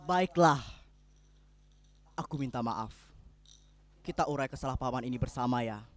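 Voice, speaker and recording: An actor playing a jealous, insecure husband, volatile yet pleading, in an emotional dramatic reading. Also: male voice, medium pitch, normal speed, lightly acted. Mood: apologetic, pleading. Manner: emotional, theatrical delivery. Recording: music behind the voice.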